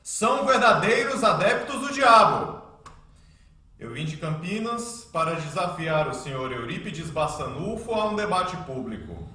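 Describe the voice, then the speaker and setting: High, echoing, fiery priest. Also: male voice, very animated, measured pace, bright, forceful tone, heavily acted. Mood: scornful, thundering. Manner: pompous, scornful preaching. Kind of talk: religious radio drama acting. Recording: very echoey church-like room, distant.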